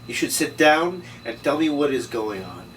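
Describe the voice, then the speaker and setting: Man playing a gruff noir tough guy, off-mic. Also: medium pitch, big pitch swings, normal speed, fairly bright tone, faint music behind. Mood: angry, threatening. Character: tough, suspicious, hot-headed macho noir detective, man. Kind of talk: table read of a noir script. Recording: distant mic, noisy room.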